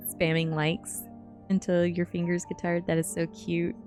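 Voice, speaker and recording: A woman's voice: lowish voice, flat delivery, unhurried, a little mellow. A sleepy woman streamer doing an ASMR book reading and chatting casually with viewers. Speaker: streamer reading books aloud. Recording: music playing behind the voice. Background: music.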